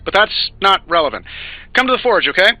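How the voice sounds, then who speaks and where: An actor playing a chatty, joking young hero in a video game, playful and casual. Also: male voice, high voice, sing-song, a little fast, pushed and bright, soft background music, full character voice, rough recording. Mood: teasing, urgent. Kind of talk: video game character dialogue.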